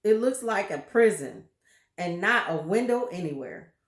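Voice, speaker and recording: A woman's voice: mid-range voice, lively intonation, a little slow, reverberant. A woman reading a children's book aloud expressively, pointing out pictures to her listeners. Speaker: storybook reader.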